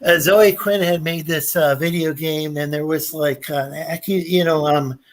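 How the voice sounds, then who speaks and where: A man on a podcast, chatting casually, with halting speech full of restarts and "like". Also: fairly high pitch, steady tone. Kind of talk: casual chat.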